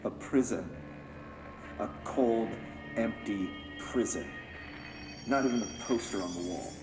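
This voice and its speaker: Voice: medium pitch, slow pace. An actor playing a sullen, unstable captive man in a game, needling the person who evaluates him. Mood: sullen, bitter. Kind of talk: game cutscene drama. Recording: echoey room, music behind.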